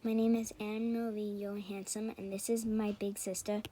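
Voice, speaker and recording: A woman's voice: medium pitch, little pitch movement, moderate pace, mellow, natural voice. A woman voicing frightened young girls in a children's puppet show, anxious and urgent, in a noisy home recording. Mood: nervous.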